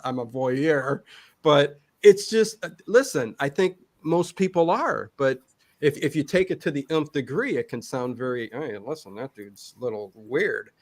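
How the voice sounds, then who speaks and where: A man's voice: medium pitch, dry recording. A man in a casual interview chat, answering at length and often breaking off to restart his thoughts. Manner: long-winded, halting with many self-interruptions. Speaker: guest, online show creator.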